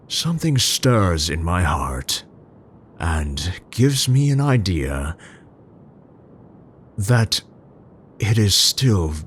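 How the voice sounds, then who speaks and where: An actor voicing a tender, awkward older male scientist in an intimate ASMR roleplay, halting and formal as he muses to the listener. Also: low voice, a little hiss or hum, heavily acted. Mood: tender, hushed. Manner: halting, musing, formal, with hesitant stammers.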